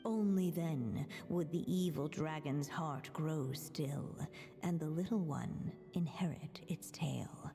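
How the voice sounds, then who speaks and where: An actress playing a solemn storybook narrator in a fantasy game, telling the tale in a measured, dramatic way. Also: female voice, low voice, warm tone. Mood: solemn, storytelling. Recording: long reverb, music behind. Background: game music.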